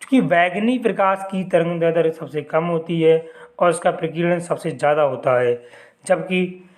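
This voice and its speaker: A young man with a high voice teaches a chemistry lesson. His throat is sore and he clears it often. He keeps asking his listeners a question and then answering it himself, and he adds a polite "ji" to his sentences. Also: normal speed. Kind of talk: exam-prep lesson explaining diagrams.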